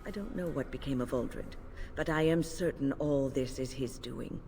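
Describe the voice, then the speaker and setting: An actress playing a grave, protective older female mage, speaking in a formal, measured way in a video game. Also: extremely low pitch, creaky, warm tone, a little hiss or hum.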